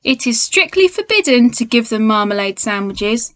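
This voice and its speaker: A woman's voice: fairly high pitch, sing-song, normal speed. Woman as a stern, officious zoo gatekeeper man.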